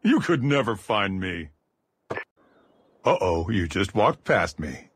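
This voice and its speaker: Gruff video game soldier, raspy, barking squad orders, pitch jumps all over the place. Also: male voice, sing-song, slow, heavily acted. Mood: menacing, hunting. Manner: terse, commanding military callouts. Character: gruff armored male soldier, aggressive squad mate in combat. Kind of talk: video game combat voice lines.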